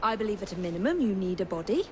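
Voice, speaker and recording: An actress playing a brisk, self-assured young Victorian woman in a video game, over noise. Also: medium pitch, big pitch swings, natural voice. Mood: firm. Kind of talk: video game cutscene acting.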